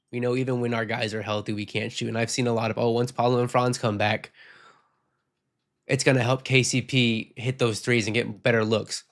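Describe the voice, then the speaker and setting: Male podcast host, sick and hoarse, casual and rambling, reacts frankly to fan comments. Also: mid-range voice, a bit bright. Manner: frank, rambling, reads viewer comments aloud. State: sick, losing his voice. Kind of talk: casual postgame chat reading comments.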